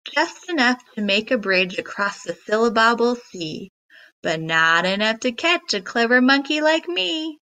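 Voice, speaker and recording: A woman's voice: a little high, big pitch swings, measured pace, sharp tone, exaggerated voice, poor audio. A woman voicing a clever little female monkey from a picture book, sly and scolding. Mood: smug.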